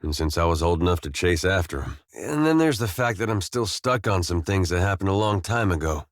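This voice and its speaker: A man voicing a gentle, world-weary farmer turned adventurer in a video game, plain-spoken and loyal, with a folksy, understated, dryly humorous manner. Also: sits low, lively intonation, a little fast, no room sound, studio quality. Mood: awkward, fond. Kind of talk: video game companion dialogue.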